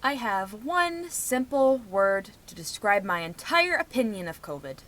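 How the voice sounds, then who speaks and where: Young woman, bright forward voice, medium pitch, emphatic, outspoken, personal vlog commentary. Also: sing-song, a little slow. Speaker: vlogger.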